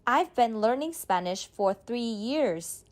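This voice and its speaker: A woman reading a beginner's speaking drill, saying each line slowly and clearly, then repeating it. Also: a little high, expressive. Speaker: model speaker in a language drill. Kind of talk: slow scripted drill reading.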